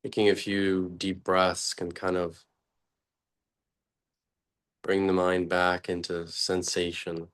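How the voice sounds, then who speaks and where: Very slow, low-pitched man guiding meditation. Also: monotone, fairly bright tone, clean tone. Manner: slow, halting, long pauses between short phrases. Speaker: meditation teacher. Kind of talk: guided meditation, unscripted.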